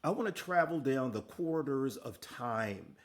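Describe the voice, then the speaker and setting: A man's voice: medium pitch, lively intonation, a little slow. A man hosting an interview, upbeat and encouraging as he introduces topics and asks questions. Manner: upbeat and encouraging, laughs often. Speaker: interview host.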